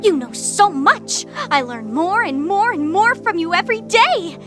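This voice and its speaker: A woman's voice: very high voice, lively intonation, measured pace, a bit bright, faint music behind, full character voice. A woman playing a devoted, anxious young sheep girl sidekick in an audio drama, gushing and pleading. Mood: adoring. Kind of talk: audio drama character acting.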